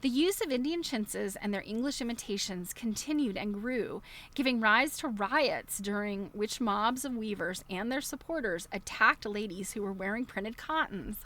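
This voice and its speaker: A woman's voice: on the high side, fairly bright tone. A woman hosting a casual livestream, reading aloud from a book and digressing into chatty, easily amused commentary. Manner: chatty, digressive, often breaking into chuckles.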